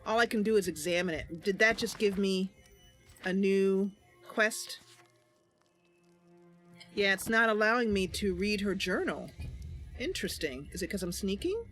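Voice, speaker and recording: A woman narrates a fantasy game roleplay and chats casually as she plays. Her pitch moves in a lively way, and soft music plays behind her. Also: unhurried, pauses often.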